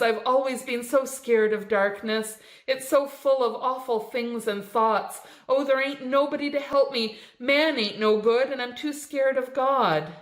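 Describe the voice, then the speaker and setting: A high, shrill voice of a dying woman wracked with fear, begging and frantic, put on by a woman reading a story. Her pleas ring out in an echoey room. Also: a bit bright.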